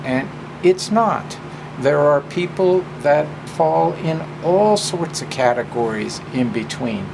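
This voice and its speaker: Slow-talking, gravelly man. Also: fairly high pitch. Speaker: presenter and drag performer. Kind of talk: informal personal talk and story hour introduction. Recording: clear steady hum of background noise.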